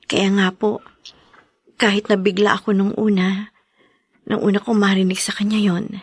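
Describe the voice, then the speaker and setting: A humble, grateful young mother in a melodrama. Her medium-pitched voice is breathy and close, with a soft, deferential delivery. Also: level tone, moderate pace, dry recording. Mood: meek, explaining. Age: young adult. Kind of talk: melodramatic radio-style drama acting.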